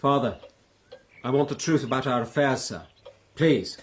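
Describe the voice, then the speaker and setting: An actor playing an upright, earnest young lord with an upper-class English accent in a radio period drama, formal and honour-bound. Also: male voice, medium pitch, normal speed, natural voice. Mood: indignant. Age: young adult. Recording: noisy old radio broadcast.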